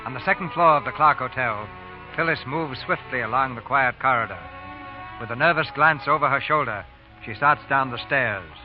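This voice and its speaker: A man's voice: mid-range voice, moderate pace. A man narrating a scripted radio drama in a theatrical 1940s storytelling style. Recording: dull old radio recording. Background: music.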